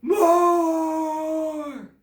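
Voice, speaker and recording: A woman voicing a huge, greedy female snow dinosaur in a storybook, roaring and croaking her demands. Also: sits high, level tone, very slow, roomy sound, far from the mic, pitched above the performer's own voice. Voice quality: croaky roar.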